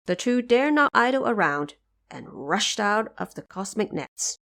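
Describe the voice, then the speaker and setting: A woman narrates a scripted audio drama as its storyteller. Her voice sits at a middle pitch for a woman. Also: very animated, measured pace, fairly bright tone.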